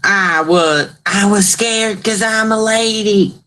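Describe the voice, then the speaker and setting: A man's voice: high-pitched, slow pace, sharp tone, voice pushed up, full character voice. A man voicing a timid but indignant farmer's wife in an exaggeratedly feminine, plaintive way for a mock radio play. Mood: frightened.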